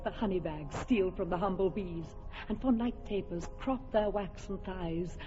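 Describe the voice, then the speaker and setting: A woman's voice: mid-range voice, normal speed, mellow, highs cut off, faint music behind, lightly acted. An actress playing a proud, doting fairy queen who speaks lyrical verse in a classic radio drama. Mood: tender. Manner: lyrical, imperious verse delivery.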